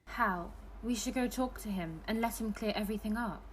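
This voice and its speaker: Audio play role: earnest young secret-holder, troubled, medium pitch, light background ambience female performer. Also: normal speed, a little hiss or hum, lightly acted, rough recording. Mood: pleading.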